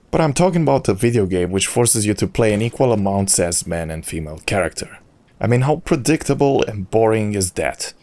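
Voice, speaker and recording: A man's voice: lowish voice, a little mellow. A man whose first language is not English, hosting a solo audio log and giving opinionated commentary in a ranting way. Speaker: YouTube channel host.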